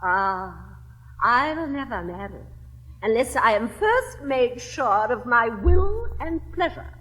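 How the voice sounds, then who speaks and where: A woman's voice: fairly high pitch, big pitch swings, takes it slowly, fairly bright tone, big-room sound, exaggerated voice, low-quality recording. An English actress in her seventies playing a witty, capricious young lady of fashion, with an affected, swooping theatrical drawl. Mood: teasing. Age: 70s. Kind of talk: 1960s classic stage recording.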